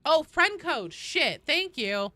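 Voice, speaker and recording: A woman's voice: sits high, very animated, slow pace, pushed and bright, dry recording. A woman chatting casually to viewers as a game livestreamer, playful and joking, with some swearing. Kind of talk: casual livestream chat while gaming.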